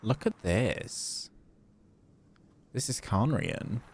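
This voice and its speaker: A man's voice: fairly low pitch, very animated, extremely slow, a little hiss or hum. A man giving casual live commentary on a game as he plays, musing aloud as he works things out. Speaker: game livestreamer. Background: game music.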